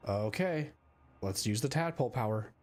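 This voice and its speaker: A man's voice: mid-range voice, expressive, moderate pace, darkish tone. A young man streaming a video game, chatting casually into a desk mic with a rambling, filler-heavy way of talking. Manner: rambling, lots of filler words. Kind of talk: casual gameplay commentary.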